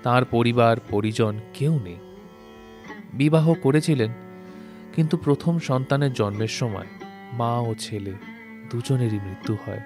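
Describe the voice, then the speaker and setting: Male storyteller over soft music. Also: mid-range voice, unhurried, pauses often. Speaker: narrator. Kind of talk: scripted literary story narration. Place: recording studio.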